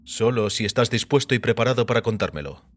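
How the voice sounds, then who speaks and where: A man voicing a mysterious, composed and quietly assured visitor in audio fiction, speaking in a measured, calm way. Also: lowish voice. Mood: calm, reflective.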